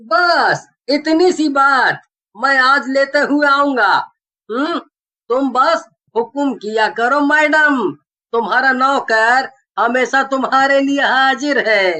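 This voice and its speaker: A woman voicing a devoted, practical young husband in an animated horror story, indulgent and reassuring with a teasing edge. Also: high-pitched, slow pace, pitched above the performer's own voice, heavily acted. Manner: reassuring, gently chiding.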